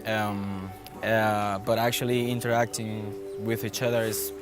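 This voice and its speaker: A man from Venezuela speaks in a reflective, slightly hesitant way. Clear music plays behind his voice. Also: on the low side, level tone, measured pace. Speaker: workshop participant. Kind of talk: casual interview.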